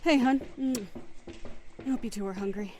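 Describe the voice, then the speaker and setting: A woman's voice: a little high, moderate pace, mellow, slight background noise, close to an everyday voice. A woman playing a cheerful, affectionate mother in an audio drama, with a homely, caring manner.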